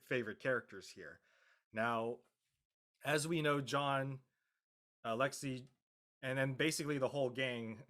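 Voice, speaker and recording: A man speaking off the cuff as an actor on a panel, deliberate and halting, often repeating words as he searches for phrasing. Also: medium pitch, a bit bright. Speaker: actor on the show, as a guest panelist. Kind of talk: unscripted discussion to an audience.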